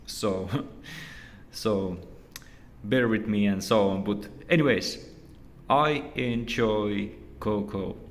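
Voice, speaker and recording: A man from Finland, a native Finnish speaker with a Finnish accent, giving a casual, unscripted review in a hesitant, halting and repetitive way. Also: lowish voice. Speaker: video reviewer. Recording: echoey room with long reverb.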